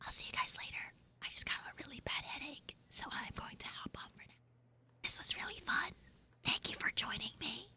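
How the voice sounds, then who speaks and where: A woman livestreamer talking softly and casually to her viewers in a hushed, breathy voice. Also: high voice, very animated, brisk, whispered. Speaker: livestreamer and voice performer. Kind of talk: soft casual livestream sign-off.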